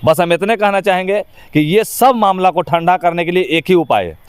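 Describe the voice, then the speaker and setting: Emphatic Bihari man, higher-pitched voice. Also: lively intonation, normal speed, clear, resonant tone, dry recording. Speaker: interview guest, actor. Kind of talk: opinionated informal interview talk.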